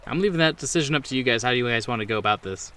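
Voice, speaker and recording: A man's voice: mid-range voice, lively intonation, racing, bright, forceful tone, a little hiss or hum. A man running a tabletop horror game as game master, narrating scenes off the cuff. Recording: clear boat engine ambience behind.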